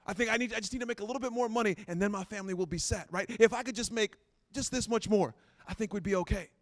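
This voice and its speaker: Pastor, late 30s, high clear tenor, dry close mic, chatty and self-deprecating. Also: male voice, fast, dry recording. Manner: conversational, self-deprecating, repeats phrases. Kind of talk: sermon.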